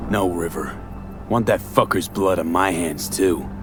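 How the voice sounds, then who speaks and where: An actor playing a tough but loyal and reassuring mercenary in a video game, speaking in clipped phrases. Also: male voice, low-pitched, a little slow, over music. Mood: serious. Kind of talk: dramatic video game acting.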